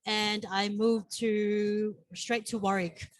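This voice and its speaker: A young woman from Samoa, a podcast guest, shy and hesitant in a soft voice. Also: medium pitch, little pitch movement, takes it slowly, no room sound. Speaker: guest, flight attendant.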